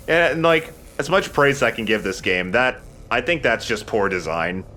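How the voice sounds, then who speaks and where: A man's voice: mid-range voice, animated, slow pace, bright, forceful tone, a little hiss or hum. A man hosting a let's play, joking and swearing in casual banter as he comments on his game. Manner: joking, profane.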